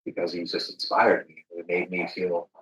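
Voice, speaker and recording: A man with a distinctly low voice hosts a conversational podcast. He speaks in a reflective, halting way, often doubling back on words. The room adds a clear echo around his voice. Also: monotone, fairly bright tone. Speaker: podcast host.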